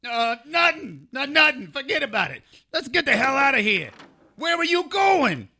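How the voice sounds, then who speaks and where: A brash, cranky male sidekick, played by an actor in an audio drama, always griping and exasperated. His voice is high and sharp with a gravelly creak, swinging in pitch as he wisecracks. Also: very animated, moderate pace, exaggerated voice. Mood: flustered, indignant. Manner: exasperated, complaining, mouthy. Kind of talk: comedic audio drama acting.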